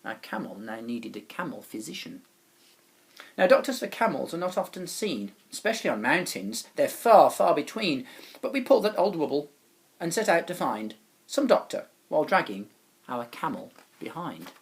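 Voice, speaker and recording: A man who is a teacher reads a children's story aloud in an expressive, dramatic way with pauses and emphasis. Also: fairly high pitch.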